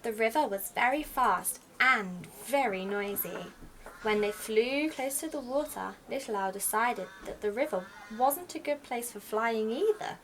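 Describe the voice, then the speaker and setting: A woman reading a children's storybook aloud as an expressive storyteller. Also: a little high, a little slow, poor audio. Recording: faint background noise.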